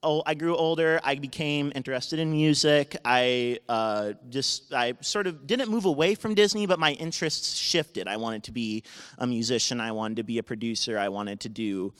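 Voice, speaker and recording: A man in his twenties with a naturally loud voice, telling a personal story live to an audience, halting and often restarting his sentences. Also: mid-range voice, smooth. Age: 20s. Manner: halting, with many restarts and self-corrections. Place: university event space. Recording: live talk with long hall reverb.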